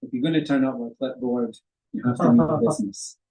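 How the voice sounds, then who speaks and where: A man's voice: medium pitch, fairly even intonation, a little fast, warm tone, low-quality recording. A man hosting a live poetry event at a bookshop, introducing the guest poet with playful, flowery phrasing and frequent laughs. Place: bookshop event in front of an audience.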